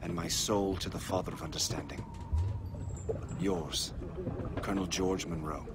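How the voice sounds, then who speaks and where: An actor playing a British colonel reading a grave farewell letter in a formal, measured voice. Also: male voice, sits low, fairly even intonation, over loud music.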